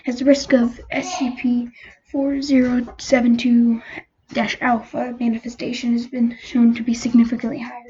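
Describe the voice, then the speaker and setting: A woman reading a scripted document aloud as narrator, haltingly, often stumbling over numbers. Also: on the high side, flat delivery, mellow. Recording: muffled lo-fi home recording, clear noise.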